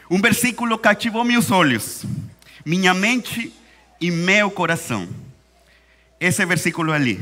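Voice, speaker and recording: A man from Venezuela with a Spanish accent, preaching a sermon in Portuguese, slowing down and repeating key phrases for emphasis. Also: a little high, pushed and bright. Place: church hall. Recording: church hall reverb.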